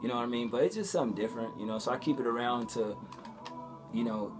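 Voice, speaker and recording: A man's voice: medium pitch, fast, faint music behind. A young man chatting casually in a vlog, with informal, slangy speech and frequent swearing. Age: young adult. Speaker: vlogger.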